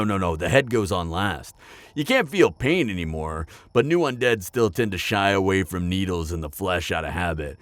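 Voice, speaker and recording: A male actor plays an upbeat, practical necromancer chatting to the listener in an audio roleplay. His lowish voice swings freely up and down, with casual, hesitant asides. The sound is close and dry. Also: no echo. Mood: casual, curious. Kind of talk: conversational roleplay addressed to the listener.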